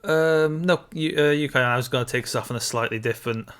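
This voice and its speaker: A man's voice: mid-range voice, fast talker, a bit bright, clean tone. A man co-hosting a podcast, discussing film casually with tentative, conversational remarks. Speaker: podcast co-host.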